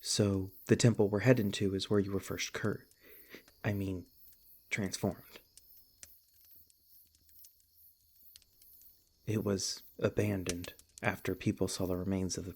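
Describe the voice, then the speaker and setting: A man playing a protective, tender monster hunter in an ASMR roleplay, speaking in a gentle, halting, earnest way with a husky, breathy voice. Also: low-pitched, level tone, full character voice. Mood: sympathetic. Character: protective, tender male monster hunter comforting his beloved. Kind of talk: ASMR roleplay, soft intimate acting.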